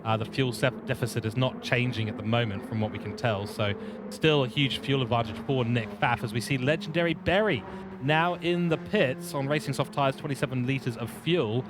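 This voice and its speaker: Male lead race commentator, lively and playful, mid-pitched, noisy background. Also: normal speed. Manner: lively, self-deprecating, playful asides. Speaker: sim racing lead commentator. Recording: steady background noise.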